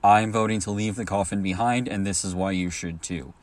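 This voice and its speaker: Male listener submission, deep voice, formal scripted persuasion. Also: steady tone, a little fast.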